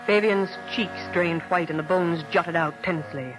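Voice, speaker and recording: A woman narrating a scripted vintage radio drama in a measured, suspenseful, literary manner. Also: lowish voice, rough recording. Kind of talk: dramatic scripted radio storytelling, 1940s-50s. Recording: old radio broadcast, music behind.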